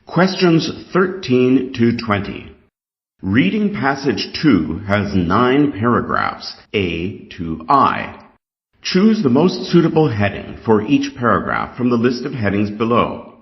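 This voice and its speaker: A Canadian man reading scripted test material aloud in a deliberate, instructional way. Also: mid-range voice, wildly swooping pitch. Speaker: reader of an English exam reading passage. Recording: dull, lo-fi sound.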